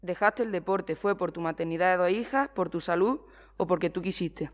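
Lowish-voiced woman asking questions. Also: moderate pace. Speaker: interviewer. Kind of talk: prepared interview questions.

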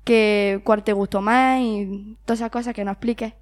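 A woman's voice: mid-range voice, steady tone, moderate pace. A woman with an accent from Spain, putting prepared questions as an interviewer. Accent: Spanish (Spain).

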